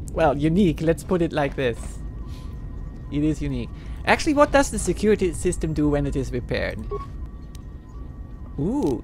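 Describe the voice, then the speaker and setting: A man from Germany with a German accent, giving casual live game commentary, hesitant and chatting to viewers. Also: a little high. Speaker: livestreamer, game host. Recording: faint game ambience behind.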